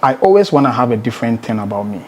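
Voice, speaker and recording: Man, Ghanaian accent, medium pitch, emphatic interview guest, repeated words, some background noise. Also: very animated, rapid-fire. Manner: emphatic, repeats words, poses rhetorical questions. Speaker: musician being interviewed. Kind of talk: conversational interview.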